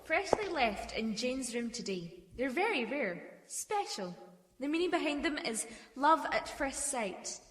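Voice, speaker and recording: A woman's voice: sits high, sing-song, unhurried, reverberant. A young woman playing a composed, assured private detective in a mystery audio drama. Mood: firm, accusing. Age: young adult.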